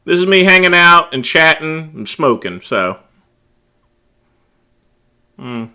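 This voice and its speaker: A middle-aged man hosting a casual late-night livestream, talking loudly and easygoing, chatting with his viewers. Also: a little high, big pitch swings, takes it slowly, clear, resonant tone. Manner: loud, laid-back, drawls filler words like "there". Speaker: livestream host.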